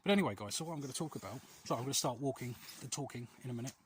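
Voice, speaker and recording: Man, noisy outdoor recording, medium pitch, casual confessional vlog, hesitant, rambling, self-deprecating. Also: animated, rapid-fire. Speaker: vlog host. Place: outdoors, quiet street and alleys.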